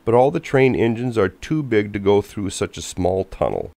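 A man's voice: fairly low pitch, animated, normal speed, creaky, mellow, dry recording. A man voicing a worried baby girl elephant from a storybook, in a pleading manner.

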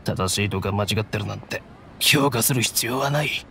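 A man playing an earnest, resigned mercenary with a rough, plain-spoken manner in a Japanese anime game. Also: on the low side, moderate pace, pushed and bright, a little hiss or hum. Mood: reassuring.

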